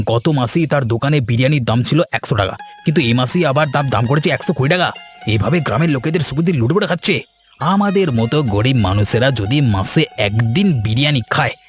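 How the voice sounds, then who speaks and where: Man voicing a fast-talking, indignant village customer. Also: mid-range voice, lively intonation, faint music behind, natural voice. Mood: complaining. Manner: argumentative, aggrieved. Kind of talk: Bengali moral-story cartoon dubbing.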